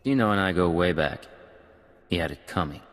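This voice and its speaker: An actor playing a cold, terse pirate agent in a video game, deadpan and curt. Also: male voice, low-pitched, moderate pace. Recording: reverberant game mix.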